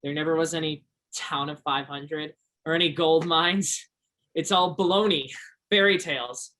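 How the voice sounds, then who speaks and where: An actor playing an earnest, curious twenty-two-year-old backwoods local in a stage play, gentle and simple in manner. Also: medium pitch, fairly even intonation, normal speed, lightly acted. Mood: matter-of-fact.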